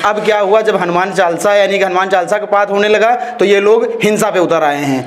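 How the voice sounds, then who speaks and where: A man's voice: high voice, a little fast. A man giving opinionated interview answers, emphatic and argumentative, repeating phrases and throwing out rhetorical questions. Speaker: interviewee, social activist. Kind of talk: opinionated street-interview talk.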